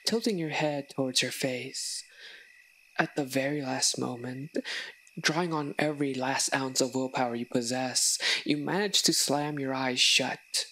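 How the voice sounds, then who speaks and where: A woman narrating a scripted second-person story in a measured way, with dramatic pauses. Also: a bass voice, fairly bright tone, slight background noise, low-quality recording. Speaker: narrator, audio roleplay voice performer.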